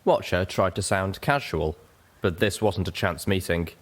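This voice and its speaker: A man's voice: deep voice, unhurried. A man reading a story aloud in dramatic first-person narration. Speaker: reader of fan fiction.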